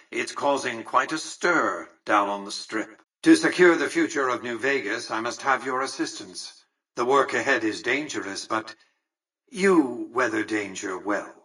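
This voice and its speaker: An actor playing a calculating, imperious casino tycoon in a video game, speaking formally and precisely with condescension. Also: male voice, medium pitch, bright, forceful tone, husky, far from the mic, rough recording. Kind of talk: scripted video game dialogue.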